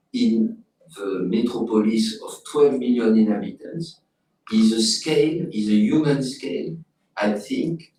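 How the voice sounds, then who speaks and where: A man from France with a French accent, speaking English haltingly in a group discussion and slipping into French words. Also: medium pitch, a little mellow. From France, outside Paris. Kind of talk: discussion in a seminar. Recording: echoey room from far off with faint noise.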